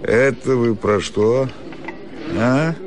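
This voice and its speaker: A man's voice: mid-range voice, drawn-out words, background noise, voice pushed up. A man voicing a fat, meddling doctor who questions people bluntly in a radio story. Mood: gruff curiosity. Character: fat, heavy, meddling male doctor at club supper. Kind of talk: theatrical radio story reading.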